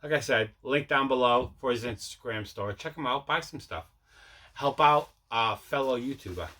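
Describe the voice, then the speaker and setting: Man, bright sharp voice, mid pitch, casual rambling host, repeats himself. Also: moderate pace. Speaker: host of a mail day collecting vlog. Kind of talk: casual chat showing movie pickups.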